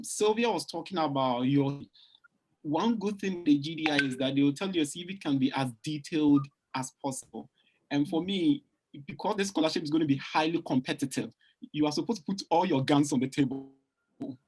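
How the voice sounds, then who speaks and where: A man's voice: fairly high pitch, a little mellow, husky, rough recording. A man speaking as a panelist on an online call, emphatic and repeating phrases to press his point.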